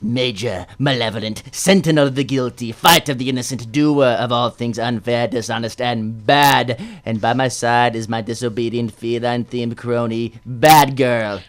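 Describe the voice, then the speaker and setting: A man's voice: medium pitch, fairly even intonation, moderate pace, hardly any pauses, natural voice. Grandiose cartoonish villain, played by a man, mid-range voice, hammy speeches. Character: theatrical male supervillain, boastful and impatient. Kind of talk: student radio drama acting.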